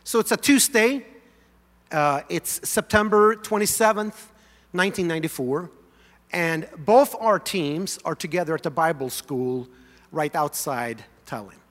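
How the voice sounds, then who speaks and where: Bright-voiced Swedish pastor in a hall. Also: male voice, on the high side, unhurried. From Sweden. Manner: animated, conversational, leads congregation in song. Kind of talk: sermon storytelling. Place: large church sanctuary.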